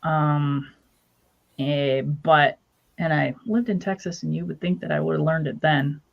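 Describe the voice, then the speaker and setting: A woman's voice: low voice, fairly even intonation, a little slow, vocal fry. Dull-sounding woman chatting loosely. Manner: loose, trailing off with fillers. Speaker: co-host. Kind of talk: casual chat.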